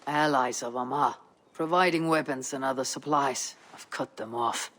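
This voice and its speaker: A man playing a seasoned, pragmatic Viking raider in a video game, measured and resolute. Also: mid-range voice, bright, forceful tone. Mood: firm, counselling. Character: seasoned male Viking raider, steady, pragmatic war counsellor. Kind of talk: video game cutscene acting.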